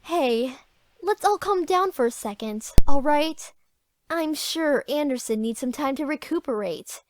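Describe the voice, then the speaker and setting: High-voiced poised popular schoolgirl. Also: animated, a little slow, background noise. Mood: placating. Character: popular high school girl, sweetly composed rival. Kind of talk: visual novel character acting.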